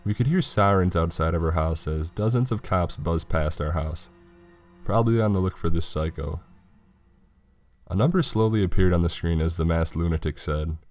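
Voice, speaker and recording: A man's voice: low voice, moderate pace. A man reading a scripted horror story as its narrator. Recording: music behind the voice. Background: background music.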